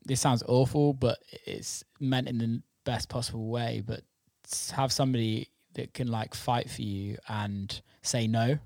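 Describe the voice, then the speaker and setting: A man with a mid-pitched voice hosts a relaxed, informal chat. He speaks in a matey, sweary way, like one mate to another. The sound is close and dry. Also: unhurried, creaky, hardly any pauses, no echo. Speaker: interviewer and host. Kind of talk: casual podcast chat.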